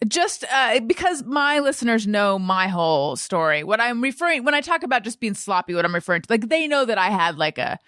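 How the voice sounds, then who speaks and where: A woman's voice: a little high, big pitch swings, brisk, hardly any pauses, a bit bright, smooth, no echo, studio quality. A woman hosting a casual interview podcast, chatting in a candid, self-deprecating way. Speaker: podcast host interviewing a guest.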